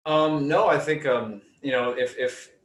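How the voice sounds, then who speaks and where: A man's voice: medium pitch, unhurried. Man talking in an echoey room. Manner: hesitant, many fillers and restarts, laughs easily. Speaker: panel guest, startup founder. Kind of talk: casual panel talk. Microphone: video call. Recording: roomy echoey call audio.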